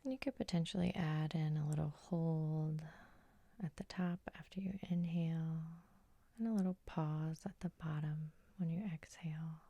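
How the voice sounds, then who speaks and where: A woman leads a guided sleep hypnosis in a soft, husky whisper. Her voice is dark and mellow with gentle, unhurried pacing. The sound is very dry and intimate, as ASMR. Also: low-pitched, steady tone, dry recording. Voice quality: breathy, whispery. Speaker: hypnotist and host.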